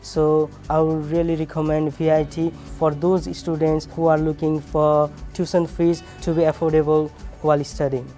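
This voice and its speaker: A man's voice: a little high, level tone, moderate pace, hardly any pauses, mellow. A young man with a Nepali accent, a recent graduate giving a promotional testimonial. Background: background music.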